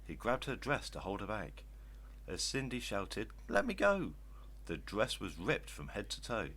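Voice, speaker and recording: An older man reading rhyming children's stories aloud in an expressive, theatrical style. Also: fairly low pitch, moderate pace, slightly rough. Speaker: children's story reader.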